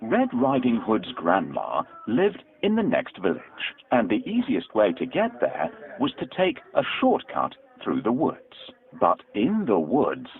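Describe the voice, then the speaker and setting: A man narrating a children's story from a script in a dramatic, expressive storytelling manner. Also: lowish voice, a little slow, few pauses, narrow, tinny audio.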